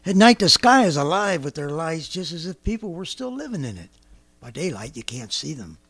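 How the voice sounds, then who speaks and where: Bitter, territorial soldier survivor: rough-voiced, agitated and very animated, blunt folksy radio-play style. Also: male voice, a little high, brisk, heavily acted. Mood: furtive. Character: paranoid, bitter, territorial militiaman survivor, a man. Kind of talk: 1930s-style radio drama acting.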